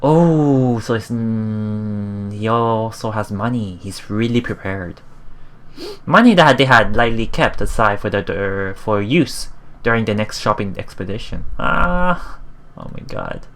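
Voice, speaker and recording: A let's play host reading a visual novel aloud in a halting way, with casual, musing commentary. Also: medium pitch, lively intonation, fairly bright tone. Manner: halting, musing, repeats phrases.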